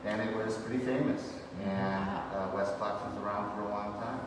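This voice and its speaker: Low-voiced man from Illinois, far off. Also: fairly even intonation, normal speed. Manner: friendly, storytelling, hesitant fillers. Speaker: guest, distillery owner. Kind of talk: casual interview answers. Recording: echoey hall from far off with noise.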